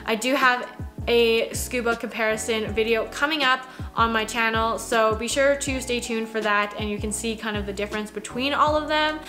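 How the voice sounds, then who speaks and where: Bright, chatty young woman reviewing products. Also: on the high side, steady tone, normal speed, faint music behind. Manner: chatty, conversational, lots of "like" fillers. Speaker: fashion vlogger. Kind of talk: casual product review chat. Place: small home office, indoors.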